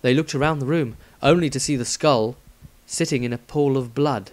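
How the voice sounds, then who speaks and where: A man with a medium-pitched voice narrates a fantasy adventure. The reading is scripted and dramatic, in the style of an author telling his own story. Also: moderate pace.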